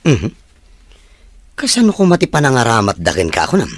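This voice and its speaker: A man's voice: medium pitch, wildly swooping pitch, a little fast. A man playing a frank young friend in an audio drama, giving advice casually. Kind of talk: Ilocano audio drama acting.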